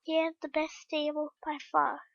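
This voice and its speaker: A woman reading aloud, voicing a gruff, plain-spoken young goatherd boy with curt, matter-of-fact lines. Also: sits high, completely flat delivery, moderate pace, fairly bright tone, no echo, dull audio, pitched above the performer's own voice. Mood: blunt.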